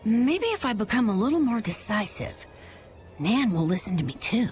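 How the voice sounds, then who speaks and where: A woman's voice: a little high, big pitch swings, normal speed, darkish tone. A woman playing an earnest, unsure but determined young boy in a video game cutscene. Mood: troubled, resolving. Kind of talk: English game cutscene voice acting. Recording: music behind the voice.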